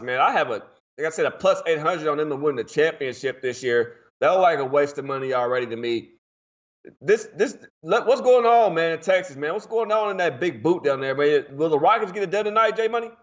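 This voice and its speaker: A quick, slangy man from Portland chats about sports bets in a loose, animated way. His voice sits a bit high and comes through a dull, muffled stream. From Portland, Oregon. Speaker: sports betting show host. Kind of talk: casual sports betting chat.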